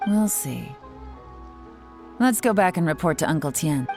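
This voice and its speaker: Low, mellow, composed female investigator. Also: expressive, faint music behind. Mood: analytical, composed. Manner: measured, analytical, matter-of-fact. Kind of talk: video game voice acting.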